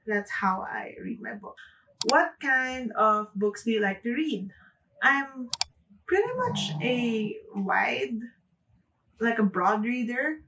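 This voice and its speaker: A woman's voice: a little high, sing-song, slow pace, distant mic, background noise. A young woman from the Philippines with a Filipino accent, chatting casually to camera and often saying "like". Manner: chatty, frequent "like" fillers, light laughs. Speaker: booktuber.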